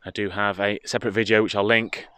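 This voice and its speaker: British guy doing a car dealer walkaround, lowish voice, steady informative patter, filmed on his phone. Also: fast talker, clean tone, dry recording. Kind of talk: walkaround product presentation, semi-improvised commentary. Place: outdoors in a car park, partly inside a car.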